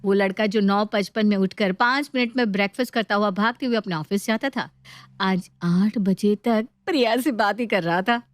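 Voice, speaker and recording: Female storyteller for scripted story narration, medium pitch, close dry sound. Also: normal speed, no echo.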